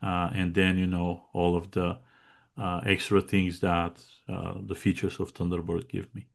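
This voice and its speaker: Low-voiced Bulgarian man chatting. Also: monotone, unhurried, creaky. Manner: chatty, laughing, many fillers and restarts. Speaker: guest contributor. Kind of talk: casual interview chat.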